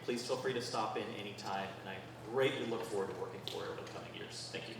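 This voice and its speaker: Brief: male deputy, lowish voice, chatty meeting report full of ums, picked up far from the mic. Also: huge pitch swings, gravelly. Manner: conversational, many fillers, reads out numbers slowly. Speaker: sheriff's deputy giving a community report. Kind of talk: informal community meeting report. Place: community meeting room, recorded from across the room. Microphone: distant room microphone. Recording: echoey meeting room, distant mic, faint noise.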